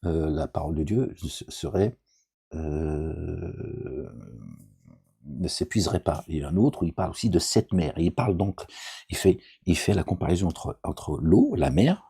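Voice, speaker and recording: Very low, gravelly, husky man. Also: animated, a little slow, warm tone. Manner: digressive, asks "d'accord?" often, jokey asides. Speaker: religious commentator. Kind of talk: informal Quran commentary, rambling.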